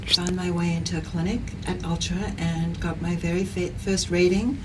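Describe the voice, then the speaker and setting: A woman's voice: lowish voice, normal speed. A middle-aged woman from South Africa with a South African accent, talking earnestly and energetically as a guest in an interview. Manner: energetic, earnest, repeats herself while thinking. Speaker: guest, padel league founder. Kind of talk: conversational interview. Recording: distant mic with clear background noise.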